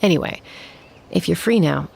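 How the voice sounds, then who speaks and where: A woman's voice: fairly low pitch, expressive, moderate pace, gravelly, darkish tone, slight background noise. A woman playing a cool, shrewd young female warrior in a video game, speaking casually and frankly. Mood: reassuring. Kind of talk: anime-style video game voice acting.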